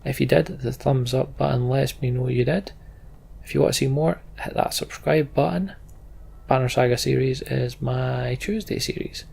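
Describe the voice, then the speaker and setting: A man's voice: medium pitch, steady tone, normal speed, vocal fry, darkish tone, breathy edge. A British man giving casual, wry game commentary as a let's play host, muttering to himself as he goes. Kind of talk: casual game commentary, reading on-screen story aloud. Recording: game music behind the voice. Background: video game music.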